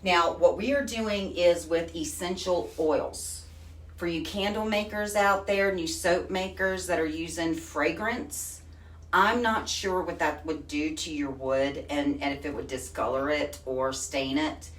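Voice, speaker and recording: A woman's voice: low voice, unhurried. A woman from Texas with a Texan accent, hosting a casual live stream in a chatty, folksy way. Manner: chatty and folksy, says honey and y'all. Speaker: host. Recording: echoey room, far from the mic.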